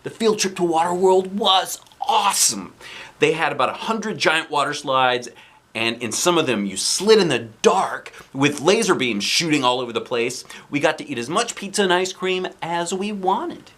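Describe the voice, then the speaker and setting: A man reading a children's storybook aloud in an expressive, animated narrating style. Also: a little high, normal speed. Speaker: storybook reader, dad.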